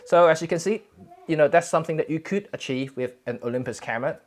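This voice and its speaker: A man from Hong Kong, a Cantonese native speaker, hosting a casual photography livestream from home, talking in a halting way with many fillers and self-corrections. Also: a little high. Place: home, indoors. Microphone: camera setup for streaming.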